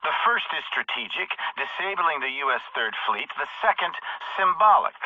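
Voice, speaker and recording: An actor playing a ruthless middle-aged military company chief, speaking in measured, grandiose, coldly philosophical tones in a video game audio log. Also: male voice, fairly high pitch, very animated, bright, forceful tone, no echo, exaggerated voice. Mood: calculating. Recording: tinny radio-filtered message.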